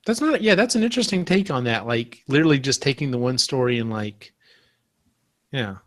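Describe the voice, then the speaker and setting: Hesitant man, medium-pitched, chatting. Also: lively intonation, a little fast, fairly bright tone. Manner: hesitant, searching for words. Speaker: podcast host. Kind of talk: casual chat.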